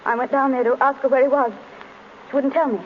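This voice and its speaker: Dorothy, actress as high-voiced distraught young woman. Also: level tone, fast, lightly acted. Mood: anxious, confessing. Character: Dorothy, distraught, timid young daughter shielding father. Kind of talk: 1930s radio acting. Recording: dull, noisy old radio recording.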